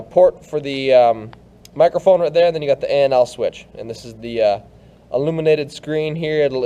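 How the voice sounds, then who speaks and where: A man giving a casual hands-on product demonstration, explaining informally and haltingly, step by step. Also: on the high side, darkish tone. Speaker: product reviewer.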